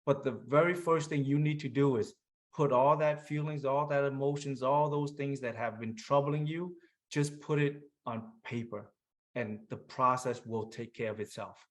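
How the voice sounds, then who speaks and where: A man's voice: mid-range voice, normal speed. A man author on a panel, plain-spoken and encouraging. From Vietnamese-American. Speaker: author guest. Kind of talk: panel discussion.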